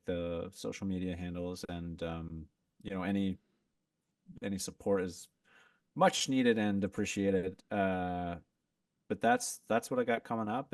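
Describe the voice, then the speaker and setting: A man talking as a podcast guest in a relaxed, rambling way, full of fillers and restarts. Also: deep voice, no echo, far from the mic. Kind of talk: casual chat.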